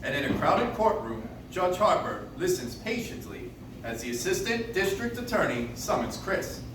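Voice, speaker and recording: An actor playing a dramatic 1940s radio host narrating a play in measured phrases. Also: male voice, high voice, very animated. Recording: echoey, distant, noisy audience-member recording.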